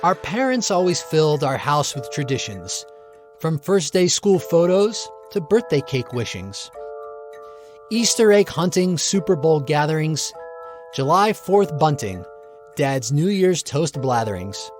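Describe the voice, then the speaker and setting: Male poet, fairly high voice with lively swings, rhythmic theatrical verse over music. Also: measured pace. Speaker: poet reading own poem. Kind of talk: rhymed poem recitation.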